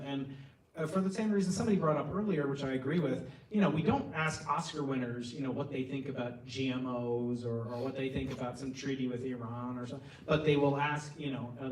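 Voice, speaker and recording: A man's voice: mid-range voice, darkish tone, slight background noise. A man on a panel, answering an audience question in a chatty way with many restarts. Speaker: panelist scientist. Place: lecture hall stage. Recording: roomy hall, mic at a distance.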